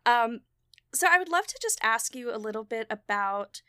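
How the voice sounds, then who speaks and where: A woman hosting a casual podcast interview over a video call, enthusiastic and quick to laugh. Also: fairly high pitch, animated, smooth, no echo, studio quality. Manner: warm, enthusiastic, laughs often. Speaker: podcast host and audio drama creator.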